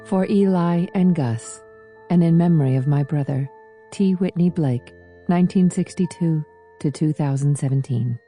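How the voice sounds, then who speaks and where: A woman's voice: low-pitched, moderate pace, warm tone, faint music behind. A woman reading scripted literary narration for an audiobook.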